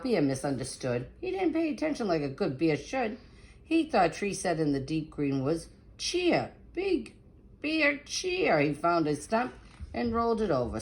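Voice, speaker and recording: Female children's read-aloud narrator, slightly low register, highly animated, sing-song and dramatic. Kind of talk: expressive picture-book narration.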